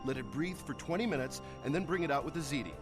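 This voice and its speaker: A man's voice: a little high, expressive, fast, close to an everyday voice. A man playing a haughty, self-important food critic in a video game, demanding and pompous in manner. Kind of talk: video game character acting. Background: music.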